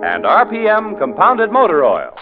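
A man's voice: high-pitched, huge pitch swings, moderate pace. A man announcing and narrating a 1940s radio show with a polished, scripted sales delivery. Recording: tinny 1940s radio recording. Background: loud brassy music.

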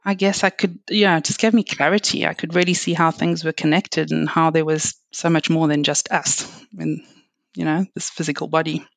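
A middle-aged woman from South Africa with a South African accent, a guest chatting reflectively with frequent fillers and soft laughs. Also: deep voice, little pitch movement, moderate pace, creaky, dry recording. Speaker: guest, reflexology and Reiki practitioner. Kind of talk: casual interview chat.

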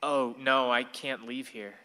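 A man's voice: medium pitch, fairly even intonation, a little slow, pushed and bright, clean tone. A man playing a wistful, awkward young man who confides his thoughts in a radio play. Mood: sheepish. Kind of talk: radio play monologue and dialogue. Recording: reverberant hall sound.

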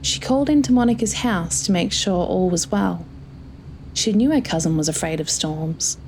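A woman's voice: lowish voice, lively intonation, moderate pace, a little mellow, a little hiss or hum. A woman reading a scripted story as its narrator.

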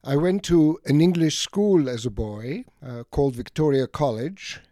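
An elderly man from Egypt and Greece, an academic guest reflecting on his life in a halting, deliberate way with many pauses. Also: fairly high pitch, mellow, no echo, studio quality. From Egypt and Greece, of Greek parents. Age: late 70s or older. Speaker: interview guest, economics academic. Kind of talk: reflective autobiographical interview talk.

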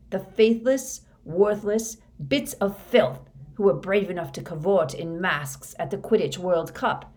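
A woman reading a storybook, voicing a grim, menacing older male wizard teacher who questions insistently with fanatical intensity. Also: fairly low pitch. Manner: quiet insistent questioning rising to fervent intensity.

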